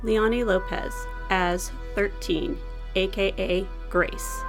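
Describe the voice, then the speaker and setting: A woman's voice: mid-range voice, steady tone, slow pace. A woman reads out closing credits for an audio drama. It is scripted narration, with music underneath. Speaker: credits reader.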